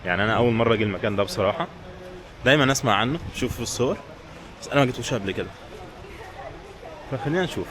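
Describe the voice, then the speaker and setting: A man's voice: mid-range voice, lively intonation, very fast, pauses often, a crowd in the background. A man with an Egyptian Arabic accent chatting casually and informally to viewers as a travel vlogger. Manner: informal, addresses viewers directly. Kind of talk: casual vlog chat to camera. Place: outdoors in town streets. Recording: clear outdoor street and traffic noise.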